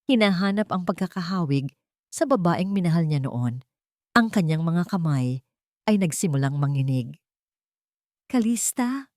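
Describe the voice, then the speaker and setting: Low-pitched woman narrating a drama story. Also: expressive, normal speed, darkish tone, dry recording, clean studio sound. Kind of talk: dramatic scripted first-person narration.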